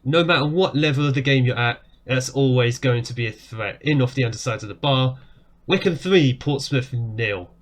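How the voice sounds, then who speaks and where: A man, talking casually over a football management game. His voice is starting to go hoarse, and it jumps up in excitement whenever a goal goes in. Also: medium pitch, moderate pace. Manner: excitable, jumps up when goals go in. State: voice going hoarse. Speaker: game streamer. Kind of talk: casual gameplay commentary. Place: small room indoors. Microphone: webcam framing at desk.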